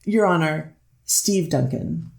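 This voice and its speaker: Someone reading as a hedgy hesitant defense lawyer, courtroom reenactment, lots of fry, echoey room. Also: mid-range voice, a little mellow. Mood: polite. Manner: halting, hedging, repetitive. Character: hesitant, deferential defense lawyer pleading to a judge. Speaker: defense attorney. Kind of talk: courtroom transcript readers theater. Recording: roomy, echoing voice recording.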